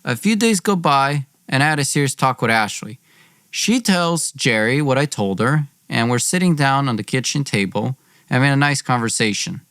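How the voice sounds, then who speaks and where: A man's voice: mid-range voice, lively intonation, moderate pace, a bit bright, smooth, dry recording, studio quality. A young man telling a dramatic personal story as a podcast host, theatrical and slangy in his delivery.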